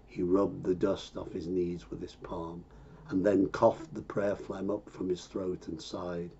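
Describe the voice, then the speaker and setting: A man reading a poem aloud in a measured recitation style. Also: low-pitched, little pitch movement, vocal fry, dark tone, slight background noise. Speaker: poetry reader. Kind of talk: slow, measured poetry recitation. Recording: dull, lo-fi muffled recording.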